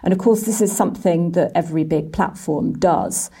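A woman's voice: lowish voice, normal speed, vocal fry, mellow, slightly rough. A woman working as a journalist and editor, giving explanatory answers in an interview. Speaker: business and technology editor.

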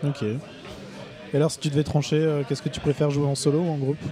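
A man's voice: fairly high pitch, flat delivery, a little fast, over music. A man hosting a podcast, interviewing musicians in casual chat and laughing easily, at a folk club bar. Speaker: podcast host. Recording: live bar recording with crowd chatter. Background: crowd chatter.

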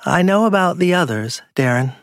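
A man's voice: high-pitched, animated, normal speed, dry recording, studio quality, pitched above the performer's own voice. A man voicing a bitter betrayed woman who confronts her partner with accusing menace in an audiobook crime story. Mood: cold accusation. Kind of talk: audiobook dialogue acting.

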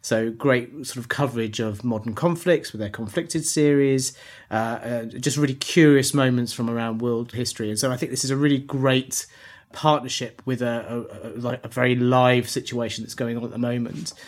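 A man's voice: mid-range voice, steady tone, moderate pace. A man co-presenting a podcast, talking through his thoughts in a loose, discursive, conversational way. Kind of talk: conversational news commentary.

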